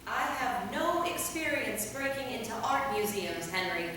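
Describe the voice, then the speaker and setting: A woman's voice: fairly high pitch, animated, measured pace. A woman acting a worried, loyal, sensible vampire with a firm, earnest delivery in a staged play reading. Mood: skeptical. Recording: echoey hall from far off, faint noise.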